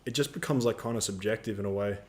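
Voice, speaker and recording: A man's voice: fairly low pitch, fast talker, crowd noise. A young man co-hosting a live Q&A stream, chatting in a laid-back, slangy way. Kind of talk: casual live-stream chat.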